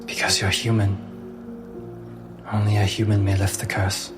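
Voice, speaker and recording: A man voicing a weary, sorrowful fox spirit in a tabletop roleplay, with grave and deliberate phrasing. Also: fairly low pitch, completely flat delivery, natural voice. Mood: grave, resigned. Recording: music behind the voice.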